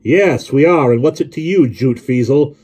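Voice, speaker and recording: Guy doing a grumbly excitable storybook man, loud, exasperated, voice pushed up high. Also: moderate pace, natural voice. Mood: blustering.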